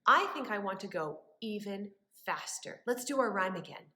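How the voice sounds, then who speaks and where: A woman leading story time for young children as a librarian, with animated wonder and a sing-song, encouraging way of talking. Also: mid-range voice, moderate pace, slight background noise. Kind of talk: children's story time with rhymes, questions and songs. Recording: echoey room.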